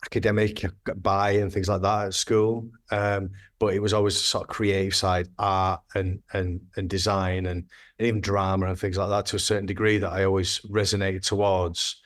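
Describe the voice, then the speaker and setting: A man's voice: sits low, flat delivery, normal speed. A man in his thirties, a graphic designer, talking casually in a podcast interview, rambling and restarting his sentences. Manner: rambling, hesitant, with frequent restarts.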